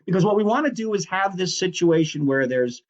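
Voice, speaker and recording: Male lecturer, fairly high voice, chatty and explanatory, self-correcting, muffled lo-fi sound. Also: animated, brisk. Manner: conversational, explanatory, corrects himself mid-sentence. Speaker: instructor. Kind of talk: recorded lecture on project management.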